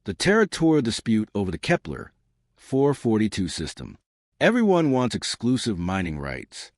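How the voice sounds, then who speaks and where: A man reads as a weary woman diplomat in a sci-fi audiobook. She explains with exasperation, in lively, moving pitch and a dry, close sound. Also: normal speed, no echo. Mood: weary, exasperated. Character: weary female human delegate explaining a deadlock.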